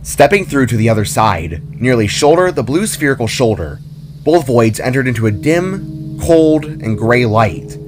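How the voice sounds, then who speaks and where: A man's voice: medium pitch, very animated, moderate pace. A man reading a scripted fantasy story as narrator in a dramatic style, with faint music behind.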